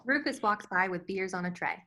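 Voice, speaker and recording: A woman's voice: medium pitch, brisk, pushed and bright. A woman reading stage directions as scripted narration for a screenplay table read.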